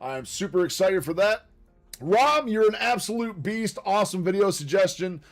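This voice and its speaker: A man hosting a casual livestream, reading notes aloud with chatty asides, his voice slightly raspy from a long stream. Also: high-pitched, lively intonation, normal speed, soft background music. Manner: chatty and upbeat, quiet asides while reading. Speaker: livestream host.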